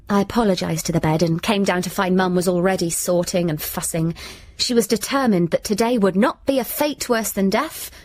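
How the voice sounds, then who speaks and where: A woman acting a sulky British daughter telling her own tale in a radio comedy. Her medium-pitched voice is deadpan and droll, recorded very dry and close. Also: dry recording, close to an everyday voice. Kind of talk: scripted first-person comic narration.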